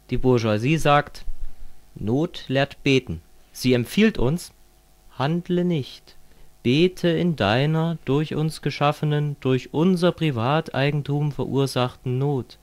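A man playing a fervent communist who lectures a friend in a didactic, declamatory way, as in an amateur radio play. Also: medium pitch.

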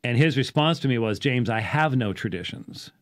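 Man, fifties, mid-pitched voice, talk show host, unscripted explaining, deliberate and emphatic. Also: expressive. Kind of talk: off-the-cuff explanatory talk answering listeners. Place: small indoor study with bookshelves. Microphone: studio microphone on boom arm, close.

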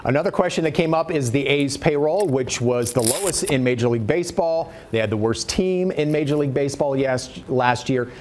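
A man's voice: mid-range voice, a little fast, few pauses, a little mellow, a little hiss or hum. A middle-aged man anchoring local TV news from a studio, reporting with wry, dry humor.

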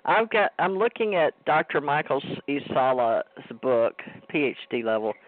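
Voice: medium pitch, very animated, normal speed, dry recording, narrow, tinny audio. A man hosting a casual talk show, rambling and interrupting himself as he asks a guest questions. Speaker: host.

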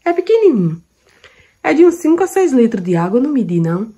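Chatty country woman vlogging from her kitchen, warm little words everywhere, talks like she's with friends. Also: fairly high pitch, sing-song, fast, husky. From Brazil. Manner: chatty, affectionate, lots of diminutives. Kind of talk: casual cooking and shopping vlog.

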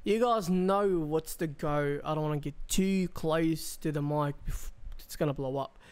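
A man's voice: fairly high pitch, measured pace, darkish tone, clean tone. A young man chatting casually as a reaction video host, rambling with slang and swearing. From Australia. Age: young adult. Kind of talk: casual chat, reaction commentary. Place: small bedroom, indoors. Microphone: close desk microphone.

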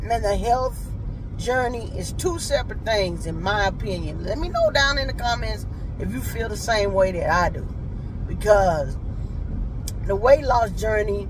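A woman's voice: mid-range voice, animated, a little slow, noisy background. A woman in her fifties talking to camera from a car, earnest and motivational, with an emphatic, preaching manner. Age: 50s. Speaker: vlogger. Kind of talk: casual talk to camera. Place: parked car. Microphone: phone held at arm's length.

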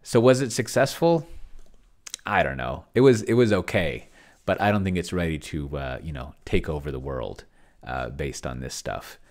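A man's voice: rumbling low, expressive, normal speed, darkish tone. A middle-aged man giving a casual, off-the-cuff review, rambling and hesitant as he thinks aloud into a close desk microphone. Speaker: solo role-playing video host. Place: small home office, indoors. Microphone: large desk microphone close to the mouth.

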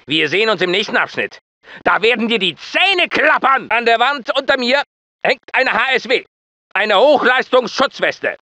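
Very high, barking drill sergeant. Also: male voice, lively intonation, moderate pace, bright, forceful tone, no room sound, exaggerated voice. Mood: stern instruction. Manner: barking, taunting, emphatic. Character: bullying male drill sergeant, scornful and commanding. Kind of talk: video game training instructions.

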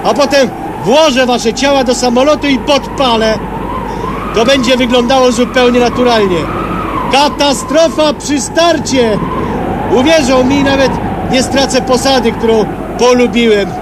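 A man's voice: very high-pitched, measured pace. An actor playing a deranged, gleeful killer in a radio play, taunting his prey with mock friendliness. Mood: gloating, mock-friendly. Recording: very noisy old radio drama recording.

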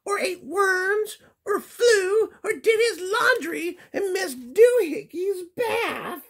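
Casting: dad doing a shrill storybook mother cat, shrieking falsetto scolds. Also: expressive, takes it slowly, vocal fry, fairly bright tone, exaggerated voice. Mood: scolding.

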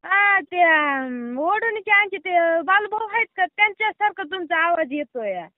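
A woman with a very high voice speaks over a thin, tinny phone line. She sounds amused and suspicious as she answers an unknown caller. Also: animated, measured pace, no room sound. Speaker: prank call target. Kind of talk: phone conversation. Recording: tinny phone call recording.

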